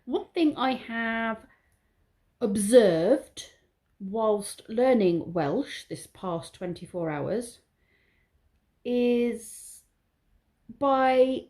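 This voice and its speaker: A woman chats to her viewers in an easygoing vlog, drifting from one thought to the next. Her voice is mellow and warm. She talks in a loose, rambling way and admits when she is waffling. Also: a little high. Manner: rambling, chatty, self-aware waffling. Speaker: vlogger. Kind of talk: casual vlog monologue.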